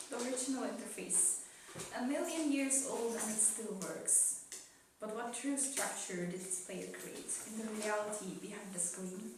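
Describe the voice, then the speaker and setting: A woman playing a gentle, solemn cosmic spirit in a measured, wistful dramatic reading of a poetic dialogue. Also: medium pitch, lightly acted. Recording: echoey room, distant mic, faint noise.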